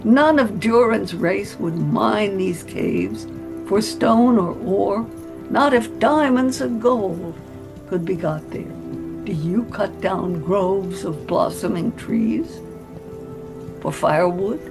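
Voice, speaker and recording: A reader lends a slow, gravelly voice to a male dwarf, rapt and heartfelt, describing beauty in unhurried lyrical phrases. Soft music lies faintly under this storybook reading. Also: mid-range voice, animated. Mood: rapturous.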